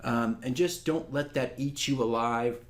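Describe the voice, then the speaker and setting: A man's voice: mid-range voice, a little slow. A man speaking candidly and casually to viewers as a dungeon master, earnest and self-critical in a conversational way. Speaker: dungeon master giving a campaign diary.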